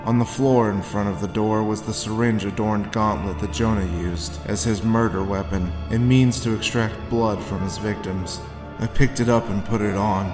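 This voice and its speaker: An actor playing a grim, haunted war veteran, telling his story deliberately in a noir audio drama. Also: fairly low pitch, fairly even intonation, music in the background, lightly acted. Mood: somber, haunted. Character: haunted hard-boiled male war veteran recounting his grim past.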